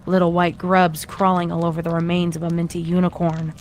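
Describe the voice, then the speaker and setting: A woman's voice: lowish voice, little pitch movement, fairly quick, darkish tone. A woman reading a horror story as its narrator, in a dramatic scripted style. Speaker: fan fiction narrator. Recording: music behind the reading.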